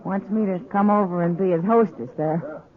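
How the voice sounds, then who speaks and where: An actress playing a worldly, relaxed frontier saloon woman, casual and knowing, in a 1950s radio western. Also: medium pitch, normal speed, close to an everyday voice. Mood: knowing, offhand. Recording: tinny vintage 1950s radio recording.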